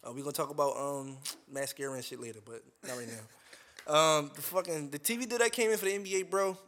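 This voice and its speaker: A man's voice: on the high side, fast talker, fairly bright tone. A young man co-hosting a casual chat, slangy, swearing, and full of "uh" and "um". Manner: slangy, heavy fillers and swearing. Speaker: podcast co-host.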